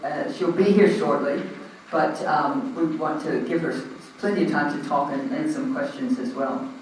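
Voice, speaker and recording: An Australian man running a meeting in a big, very echoey hall, heard from some distance away. His voice sits a little high for a man, with faint room hiss underneath. He talks loudly and informally, with lots of ums and uhs. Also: steady tone, moderate pace. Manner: loud, informal, hesitant with frequent ums. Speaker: meeting chair standing in for the president. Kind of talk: chairing a community meeting. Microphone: handheld microphone.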